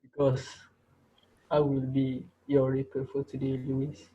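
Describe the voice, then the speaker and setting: A menacing teacher who sees himself as a reaper, acted by a man in an amateur drama reading. He interrogates and lectures in a taunting way at a medium pitch. The recording is dull and lo-fi. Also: completely flat delivery, unhurried, husky. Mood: stern, accusing. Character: menacing male teacher, self-appointed reaper judging his students. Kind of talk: amateur reader's theater acting.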